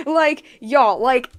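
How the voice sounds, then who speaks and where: High-voiced woman streamer, rambling and informal. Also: fairly bright tone. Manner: rambling, informal, circling back on phrases. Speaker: game streamer, host. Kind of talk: casual livestream chat.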